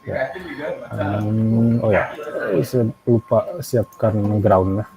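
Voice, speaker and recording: Low, slow, gravelly Indonesian man. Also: fairly even intonation, over a noisy crowd, poor audio. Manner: halting, pausing often, frequent throat clearing. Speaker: animation instructor. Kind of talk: screen-share software tutorial, thinking aloud.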